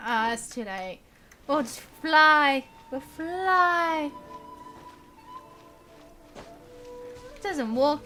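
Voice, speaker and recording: High, bright, unhurried woman streamer. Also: lively intonation, faint music behind. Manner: giggly, chatty, reacts to chat. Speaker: video game live streamer. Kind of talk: casual livestream commentary.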